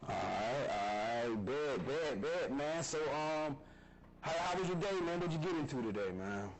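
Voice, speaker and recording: A man's voice: mid-range voice, animated, measured pace. Chill guy hosting a podcast, keeps saying all right bet, noisy background. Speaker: podcast host. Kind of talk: casual interview.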